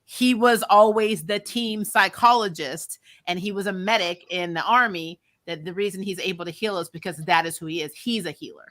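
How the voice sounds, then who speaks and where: A woman's voice: mid-range voice, normal speed, hardly any pauses, a bit bright. A woman chatting casually and sardonically as co-host of a TV review, often giggly and salty-tongued. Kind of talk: casual chat, fan review banter.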